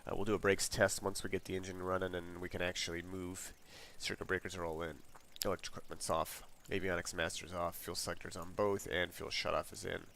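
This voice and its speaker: Low-voiced congested man, noisy mic. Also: brisk, creaky, hardly any pauses. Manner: relaxed, rambling, reads checklist items aloud. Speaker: flight sim streamer. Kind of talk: casual walkthrough narration. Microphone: desk mic. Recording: noisy home mic recording.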